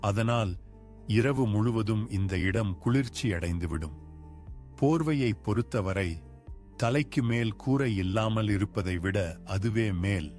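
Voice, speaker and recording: Guy doing a worried poor village dad in a cartoon, lowish voice, earnest and pleading, music under it. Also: a little slow, darkish tone, close to an everyday voice. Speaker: voice actor. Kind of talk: dubbed cartoon acting. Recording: music bed behind.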